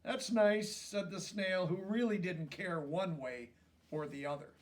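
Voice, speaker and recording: A man reading a children's story aloud as an expressive narrator. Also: a little high, unhurried, recorded from a distance. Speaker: storybook reader.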